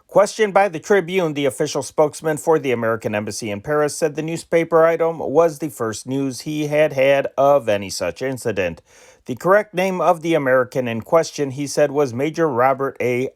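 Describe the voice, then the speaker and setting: A man reading old newspaper dispatches aloud as an amateur news reader, measured, sometimes correcting himself and slowing at phrase ends. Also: medium pitch.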